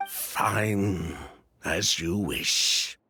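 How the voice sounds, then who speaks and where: An actor voicing a polite, smooth-talking male merchant in a game, with veiled threats in his manner. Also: sits low, extremely slow, sharp tone, heavily acted. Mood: resigned. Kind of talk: game voice acting.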